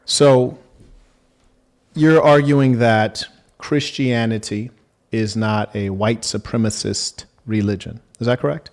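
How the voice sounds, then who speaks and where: A man hosting a debate, speaking in a measured, formal manner and asking probing questions. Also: mid-range voice, a little mellow. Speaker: debate host.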